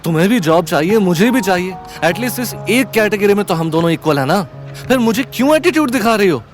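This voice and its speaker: A man's voice: high voice, lively intonation, a little fast, faint music behind, pitched above the performer's own voice. A man voicing an earnest, pleading young man in a Hindi audio drama, mixing in some English. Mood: urgent, pleading.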